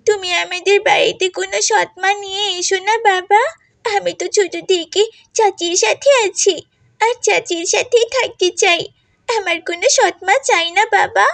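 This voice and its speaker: A woman's voice: very high-pitched, steady tone, moderate pace, creaky, a bit bright, dry recording, exaggerated voice. A woman voicing a stubborn, distrustful seven-year-old village girl in a cartoon, childlike and insistent. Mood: pleading, earnest. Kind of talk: animated moral story voice acting.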